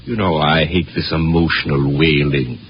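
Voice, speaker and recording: An actor playing a pompous, dismissive husband in a vintage radio science fiction drama. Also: male voice, rumbling low, steady tone, moderate pace. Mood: scornful. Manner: pompous, scornful. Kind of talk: 1950s American radio acting. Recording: muffled vintage radio recording, faint noise.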